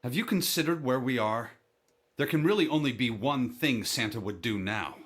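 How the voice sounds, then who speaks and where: A man plays a grave, composed character from a visual novel. His medium-pitched voice is formal and measured, never hurried. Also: lively intonation, fairly bright tone, natural voice. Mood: grave, calm.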